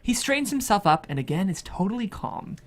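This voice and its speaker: A narrator delivers scripted stage directions from a thriller screenplay. The voice sits at a middling pitch and carries the action as dramatic narration. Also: very animated, fairly quick.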